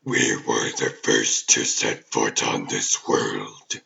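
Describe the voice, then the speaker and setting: An ancient demon overlord from a comic dub, extremely low and heavily gravelled. He speaks with grand, formal menace, very slowly and deliberately, as an imperious ruler would. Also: fairly even intonation, bright, forceful tone, lo-fi sound, exaggerated voice. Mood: brooding, disdainful. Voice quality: gravelly, growling. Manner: pompous, deliberate, formal archaic phrasing. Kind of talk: theatrical villain acting in a comic dub.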